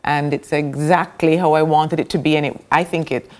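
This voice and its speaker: A woman's voice: low-pitched, steady tone, moderate pace. A Jamaican woman, a playwright, talking earnestly about her play in a casual interview. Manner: earnest, heartfelt promoting. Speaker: playwright guest.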